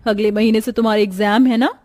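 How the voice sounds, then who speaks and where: A woman's voice: on the high side, steady tone, fast, darkish tone, no room sound, clean studio sound, close to an everyday voice. A woman playing a doting wealthy mother in a cartoon story, fussing and coaxing. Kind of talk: Hindi cartoon moral story.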